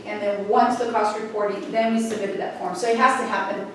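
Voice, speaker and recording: A woman giving an informal, somewhat halting update to a board at a meeting. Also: medium pitch, fairly even intonation. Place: indoor public board meeting room. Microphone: distant room microphone. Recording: very echoey room, distant mic, noisy.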